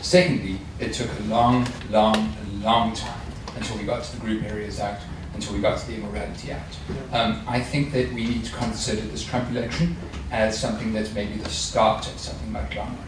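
A man's voice: on the low side, little pitch movement. A man in his thirties with a South African accent, asking an earnest, orderly question from the floor of a seminar. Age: 30s. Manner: earnest, measured, lays out points in order. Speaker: audience member asking a question. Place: seminar hall. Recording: echoey hall, distant mic, background noise.

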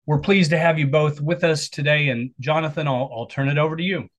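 A man giving a scripted, formal introduction as the host of a podcast series, in a deliberate way. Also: fairly high pitch, fairly even intonation. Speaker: series host and project director introducing guests.

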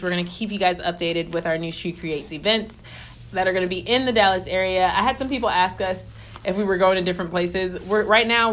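A woman's voice: on the low side, fairly bright tone, slight background noise. A woman hosting a podcast, chatting casually with a friend and laughing often. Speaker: podcast host. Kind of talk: casual chat. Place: Dallas area.

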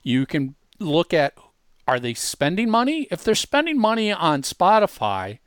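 A man co-hosting a casual software demonstration, talking informally and emphatically, straight to the listeners. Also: sits high, huge pitch swings, unhurried, clear, resonant tone, no room sound, clean studio sound. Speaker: co-host demonstrating an online tool.

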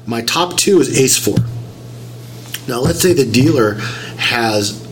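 A man's voice: medium pitch, expressive, slow pace, noisy background. A man getting over a cold, sounding nasal and a little whiny, talking through a story in casual chat and explaining things step by step. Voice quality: slightly whiny.

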